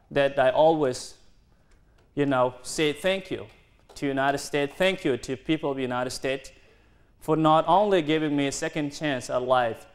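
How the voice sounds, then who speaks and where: A man in his twenties from South Sudan, a native Dinka speaker, giving an earnest university talk in halting, non-native English with restarts. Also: medium pitch. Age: 20s. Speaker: guest speaker. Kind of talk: university lecture.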